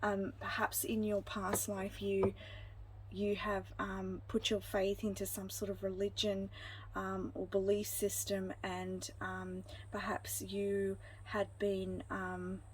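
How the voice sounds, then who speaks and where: Soft-spoken woman giving a tarot reading. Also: medium pitch, monotone, slow, slight background noise, low-quality recording. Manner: meandering, frequent ums and restarts; gentle, reassuring, addresses viewers directly. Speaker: tarot card reader. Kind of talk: intuitive pick-a-card reading.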